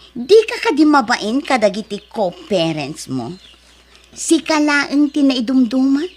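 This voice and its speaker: An actress playing a snobbish married woman in an Ilocano drama, with a taunting, exaggerated way of talking. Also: high voice. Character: snobbish, mocking married woman who belittles poorer neighbours. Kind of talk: Ilocano radio drama acting.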